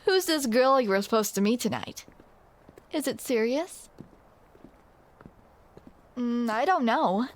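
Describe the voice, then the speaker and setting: Young woman, romantic audio roleplay: teasing and playful, high voice, unhurried. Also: lively intonation, a little hiss or hum. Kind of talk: scripted romantic roleplay spoken to the listener.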